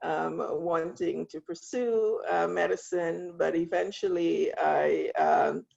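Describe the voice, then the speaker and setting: A woman's voice: fairly low pitch, steady tone, takes it slowly, creaky, a little mellow, lo-fi sound. A middle-aged woman from Guyana, a school leader, telling her story in an interview and laughing often as she reflects.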